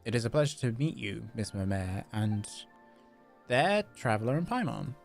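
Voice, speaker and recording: Man reading as a creaky, formal clockwork robot. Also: mid-range voice, big pitch swings, normal speed, faint music behind. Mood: courteous, formal. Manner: stiff, formal, deferential. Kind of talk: reading game dialogue aloud.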